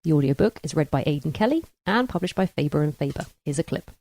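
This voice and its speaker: Woman hosting a book chat, lower pitched voice, really dry sound no room. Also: expressive, quick pace, dark tone, dry recording. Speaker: book club podcast host. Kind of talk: book discussion and introductions.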